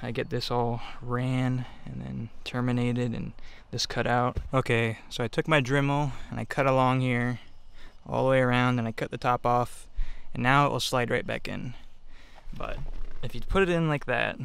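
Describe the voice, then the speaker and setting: A man talking through a hands-on installation in a casual, unscripted way, halting and offhand as he thinks aloud. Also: medium pitch, steady tone, vocal fry, a bit bright, clear, resonant tone. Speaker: DIY installer giving a how-to. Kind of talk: casual unscripted tutorial narration.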